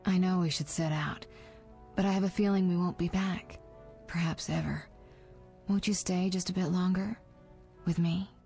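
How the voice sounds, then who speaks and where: An affectionate sorceress in a fantasy game , voiced by a woman. Her voice sits slightly low and carries an earnest, vulnerable emotion. Soft music runs behind her. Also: fairly even intonation, normal speed, pauses often, natural voice. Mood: relieved, wistful. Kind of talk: video game voice acting.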